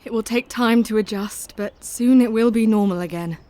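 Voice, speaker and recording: An actress playing a devoted, anxious young Victorian wife in a gothic audio drama, speaking tenderly and earnestly with formal period phrasing. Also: female voice, a little high, moderate pace, dark tone, close to an everyday voice.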